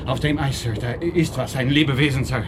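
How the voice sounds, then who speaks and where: An actor playing an excitable, dutiful sailor reporting to his superiors in a German radio drama, urgent, clipped and deferential. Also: mid-range voice, fairly quick, noisy background, lightly acted. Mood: excited.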